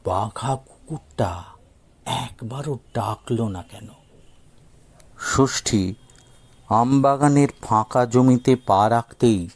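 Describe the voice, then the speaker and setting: A man tells a scripted story as a narrator, taking it at a very slow pace. His voice sits at a medium pitch. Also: a little mellow.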